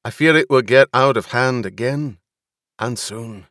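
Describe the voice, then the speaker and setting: Man acting a forceful, loyal retainer, urgent and worried. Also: medium pitch, a little slow, no room sound, clean studio sound, lightly acted.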